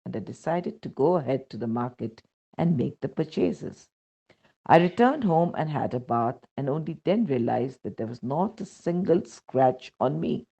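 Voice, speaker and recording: Husky, animated Indian woman reading aloud. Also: low-pitched, muffled recording. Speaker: reader of a devotional testimony. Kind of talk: scripted narration, reading aloud a first-person spiritual account.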